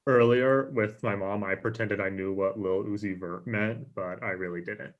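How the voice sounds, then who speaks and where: A man's voice: lowish voice, steady tone, normal speed, close to an everyday voice. A young man acting an earnest, geeky character musing to himself in a script read on a dull video-call line. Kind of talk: acted pilot table read.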